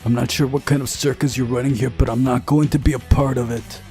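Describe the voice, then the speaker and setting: A man's voice: medium pitch, fairly even intonation, a little mellow, soft background music, lightly acted. Man playing a weary, wary young hero. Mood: defiant. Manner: guarded, deliberate.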